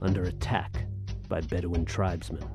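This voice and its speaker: An actor playing a wry, world-weary soldier of fortune, telling his adventure in an audio drama with measured, literary delivery. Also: sits low, little pitch movement. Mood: reflective narration. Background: music.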